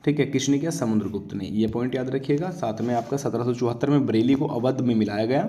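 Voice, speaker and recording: Mellow-voiced man teaching. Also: medium pitch, fast talker, a little hiss or hum. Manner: instructive, familiar address with frequent check-in tags. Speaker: exam-coaching teacher. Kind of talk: lecture reviewing exam facts point by point.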